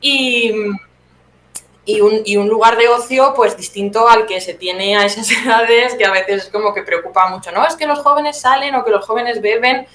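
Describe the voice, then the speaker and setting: A woman's voice: a little high, moderate pace, fairly bright tone, echoey room, slight background noise, poor audio. A woman in her thirties with an accent from Spain, talking casually and reflectively as an interview guest, often adding tag questions. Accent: Spanish, from Spain. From Teruel, Spain. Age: 30s.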